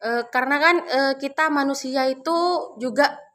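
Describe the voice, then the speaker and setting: A young woman with a high voice delivers a short Islamic talk as a student speaker. Her delivery is conversational and somewhat halting, with words often repeated as she finds her way through the sermon. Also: steady tone, fairly bright tone. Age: young adult.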